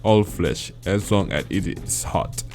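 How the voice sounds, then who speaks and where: A man voicing a big, simple, creepy gray male monster who speaks in broken, halting English. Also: sits low, soft background music, exaggerated voice. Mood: blunt. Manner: broken halting English, short flat sentences.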